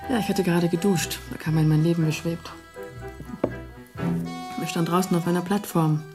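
An actress playing a guarded, wry dissident poetess in a radio play, with a dry, teasing directness. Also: female voice, fairly low pitch, fairly even intonation, moderate pace, music in the background, natural voice. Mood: reminiscing.

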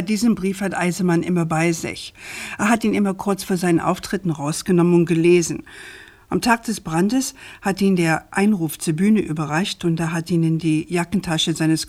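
An actress playing an earnest, persistent journalist in a radio drama, speaking in an articulate, deliberate, insistent way. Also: female voice, on the low side, steady tone, darkish tone, close to an everyday voice. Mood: earnest, subdued. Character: earnest, persistent woman journalist challenging an official.

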